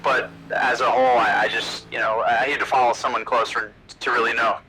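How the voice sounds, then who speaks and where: Flat-toned stock car driver played by a man. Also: medium pitch. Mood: calm, analytical. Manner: measured, hesitant technical feedback. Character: male stock car driver, calm and analytical. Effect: team radio filter. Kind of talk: simulated race team radio. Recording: noisy in-car team radio.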